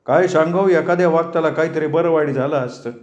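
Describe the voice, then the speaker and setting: Man voicing a humble older villager, raised pitch. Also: fast, a little mellow, reverberant, lo-fi sound, lightly acted. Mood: tearful gratitude. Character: grateful, humble older rustic man, deferential and emotional.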